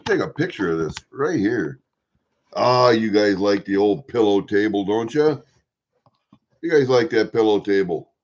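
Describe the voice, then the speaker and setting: A man in his sixties from Iowa with a Midwestern American accent, chatting to viewers in a rambling, halting, blunt and salty way. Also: on the low side, lively intonation. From Iowa, USA. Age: 60s. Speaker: vlogger. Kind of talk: rambling casual chat to viewers. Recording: dull lo-fi home recording.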